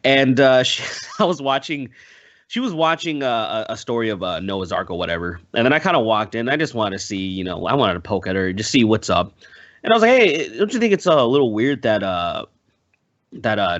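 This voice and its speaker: A man telling anecdotes in casual podcast chat, swearing and halting as he goes. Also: fairly low pitch, big pitch swings, fairly bright tone, no echo. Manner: profane, halting storytelling. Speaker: podcast panelist. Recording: dry, slightly dull close audio.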